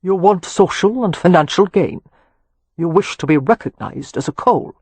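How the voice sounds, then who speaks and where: An old Anglo-Irish earl, acted by a male audiobook narrator, proud and shrewd. His husky voice is dry and aristocratic, and he speaks as one used to being obeyed. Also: medium pitch, lively intonation, normal speed, a little mellow, dry recording. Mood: demanding, dry. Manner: aristocratic, graceful, dry, commanding. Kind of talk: audiobook character dialogue.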